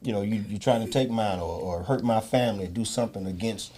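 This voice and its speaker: A man's voice: on the low side, brisk, mellow. A man chatting casually as a guest, rambling and repeating phrases for emphasis. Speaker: guest from a stage play cast. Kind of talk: casual chat.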